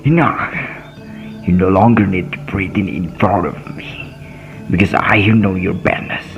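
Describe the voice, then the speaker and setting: A man's voice: sits low, fairly even intonation, unhurried, muffled recording, soft background music. A young man playing a bitter, vengeful healer in a radio drama, speaking in a half-whispered, menacing way. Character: bitter, vengeful male healer confronting his king. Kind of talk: student radio drama acting.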